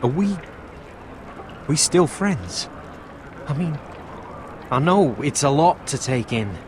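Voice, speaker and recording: An actor playing a friendly, uneasy young wildling man in a video game, speaking in a halting, confiding way. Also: on the high side, big pitch swings. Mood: hurt, unsure. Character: friendly young wildling man hiding among sworn watchmen, earnest, uneasy. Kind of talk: dramatic video game voice acting. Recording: clearly noisy outdoor ambience.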